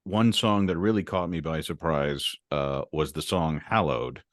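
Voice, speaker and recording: A man's voice: very deep voice, unhurried, dry recording, clean studio sound. A man hosting an interview, asking curious, thoughtful questions and affirming his guest. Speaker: interview host.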